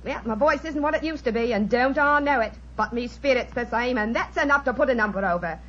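An actress playing the Rani, a brassy older music-hall singer, in a 1940s radio drama, with a chatty, showy manner. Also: female voice, on the high side, a little fast. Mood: jolly. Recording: dull lo-fi radio with loud piano.

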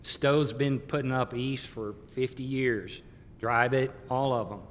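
A man's voice: steady tone, unhurried. A middle-aged man, a contractor on a conference panel, speaking in an emphatic, plain-spoken way. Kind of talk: panel discussion. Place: conference hall stage panel. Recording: reverberant conference hall, faint rumble.

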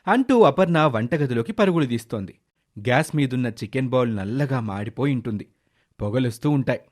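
A man's voice: mid-range voice, expressive, brisk. A man narrating a scripted moral story as its storyteller.

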